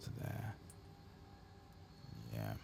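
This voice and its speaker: A soft-spoken man murmuring ASMR-style commentary to himself while playing a game. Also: drawn-out words. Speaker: gaming streamer. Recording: heavy background noise.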